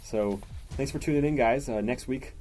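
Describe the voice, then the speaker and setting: A man's voice: medium pitch, moderate pace, soft background music, low-quality recording. A man hosting a podcast, chatting casually and reading out listener questions. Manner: relaxed and chatty, reads listener mail aloud. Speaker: podcast host.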